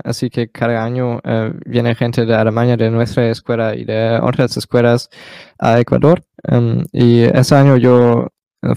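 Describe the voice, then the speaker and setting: A seventeen-year-old boy from Germany speaking Spanish as a learner, pausing often with fillers as an interview guest. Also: mid-range voice, vocal fry, dark tone, clear, resonant tone, no echo. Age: teens. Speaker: exchange student guest. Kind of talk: casual interview.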